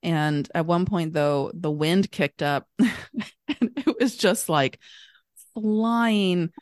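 A woman's voice: fairly low pitch, drawn-out words, dry recording, studio quality. A woman podcast host from Kentucky, chatting casually with a guest and laughing often, her voice a little scratchy from allergies. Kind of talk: casual interview chat.

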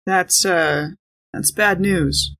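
A woman's voice: lowish voice, very slow, a bit bright. A woman chatting casually and slangily as a player in a tabletop role-playing game.

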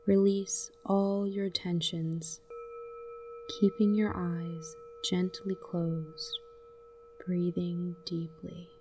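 A woman narrating a scripted guided meditation in a gentle, soothing manner, leaving long pauses between short phrases. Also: lowish voice, level tone, recorded from a distance, slight background noise.

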